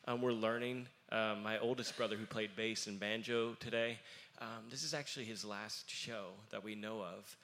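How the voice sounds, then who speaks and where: A young man with a somewhat low voice talks earnestly on a panel. He pauses often and fills gaps with ums. His talk is casual but heartfelt. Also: fairly even intonation, fairly bright tone. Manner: earnest, halting with many fillers. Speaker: musician in family band.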